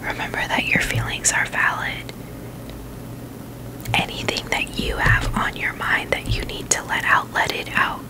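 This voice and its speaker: A woman whispering in a breathy, unvoiced voice, chatting casually and haltingly in a gentle ASMR style. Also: poor audio. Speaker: ASMR video host.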